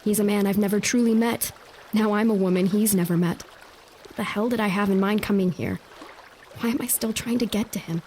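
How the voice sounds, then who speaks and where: A woman's voice: mid-range voice, steady tone, brisk, a little mellow, slight background noise, natural voice. A woman playing a doubting, exasperated young noblewoman in an audio drama, speaking bluntly. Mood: doubting, reflective. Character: frustrated, doubting young noblewoman traveling to her husband. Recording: audio drama with faint water ambience.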